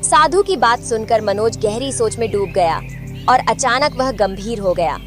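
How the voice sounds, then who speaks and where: A woman's voice: on the high side, animated, a little slow, over music. A woman narrating a scripted moral tale for children.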